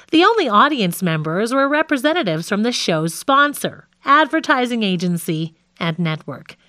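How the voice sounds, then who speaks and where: Lively woman narrating an audiobook, dry sound. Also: mid-range voice, no room sound. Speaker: audiobook reader.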